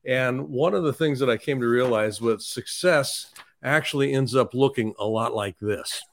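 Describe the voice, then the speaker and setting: Thoughtful man interviewing, halting delivery. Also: mid-range voice, creaky. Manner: halting, thoughtful, circles toward questions. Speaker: podcast host, author. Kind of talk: reflective conversational interviewing.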